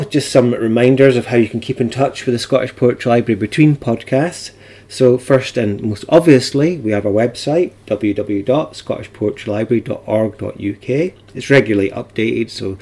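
A man's voice: mid-range voice, darkish tone. A man hosting a podcast, talking informally in a wry, playful and chatty way. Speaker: podcast host.

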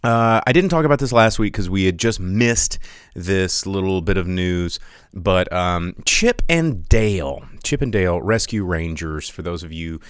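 Rambling low-voiced man hosting. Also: expressive, unhurried, smooth, no echo, clean studio sound. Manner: rambling, full of ums and restarts; loose and jokey. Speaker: podcast host. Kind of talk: casual livestream chat.

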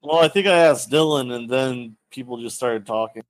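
A man's voice: mid-range voice, moderate pace, dry recording, poor audio. Matter-of-fact man, dry recording. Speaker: podcast co-host. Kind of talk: casual podcast chat. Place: recorded remotely over a voice chat app.

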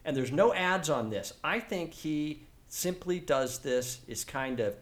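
A man's voice: on the high side, animated, a little slow. A man co-hosting a podcast in casual conversation, explaining his take and often checking in for agreement. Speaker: podcast co-host.

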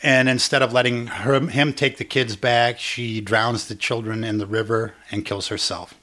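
A man's voice: medium pitch, flat delivery, normal speed, sharp tone. A man co-hosting a casual podcast, explaining things loosely with lots of fillers and restarts. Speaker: podcast co-host.

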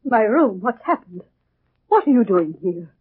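An actress playing a timid but indignant housekeeper in a 1940s radio drama, flustered and deferential, on a muffled old recording. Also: female voice, mid-range voice, very animated, unhurried, noisy background. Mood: indignant, alarmed.

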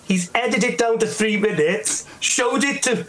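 High-voiced Welsh man telling stories. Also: moderate pace, a bit bright, a little hiss or hum. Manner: chatty storyteller, acts out quoted voices. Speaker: guest. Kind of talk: casual anecdotal chat.

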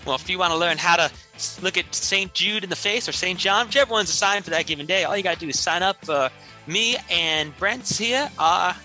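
A man's voice: high voice, a little fast, sharp tone, faint music behind. A man improvising parody radio commercials like a comedy performer, with a salesman-like announcer delivery.

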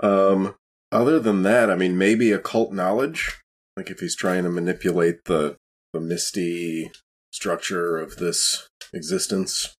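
A man's voice: low-pitched, fairly even intonation, unhurried, breathy edge. A man playing a tabletop game, chatting casually and thinking aloud in a tentative way.

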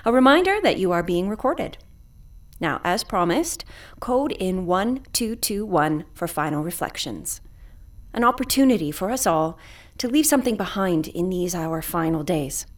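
A woman's voice: fairly low pitch, expressive, normal speed, no room sound. A woman playing a weary, stranded spaceship commander in an audio drama, earnest and dignified. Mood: solemn.

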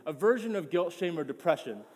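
A man's voice: fairly high pitch, lively intonation, a little fast, a little mellow, breathy edge, long reverb tail. A man preaching a sermon as pastor, passionate and emphatic, often repeating words as he builds his point.

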